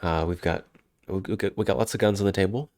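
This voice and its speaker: A man's voice: sits low, quick pace, darkish tone, no room sound, studio quality. A man with a head cold, sounding congested, chatting casually and rambling on a live stream. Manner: rambling, self-interrupting, chuckling.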